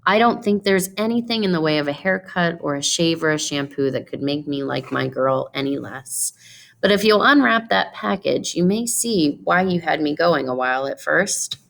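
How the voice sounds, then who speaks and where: A woman's voice: sits low, animated, vocal fry, fairly bright tone, full character voice. A woman voicing a serious, dazed and tender young husband, speaking earnestly in a storybook read-aloud. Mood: reassuring. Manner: slow, puzzled, earnest.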